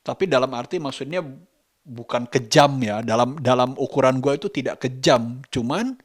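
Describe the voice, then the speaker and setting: A man with a colloquial Jakarta Indonesian accent talks reflectively and casually to camera as a vlogger, halting and thoughtful as he searches for words. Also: medium pitch, expressive. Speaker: vlogger and parent.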